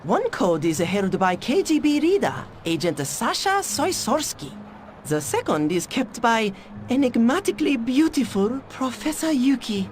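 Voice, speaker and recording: An actress playing a proud, clever Japanese scientist in a video game, with a Japanese accent and stilted, formal phrasing. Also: female voice, on the high side, big pitch swings, a little slow, a little mellow, slight background noise. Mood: explaining. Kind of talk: video game cutscene voice acting.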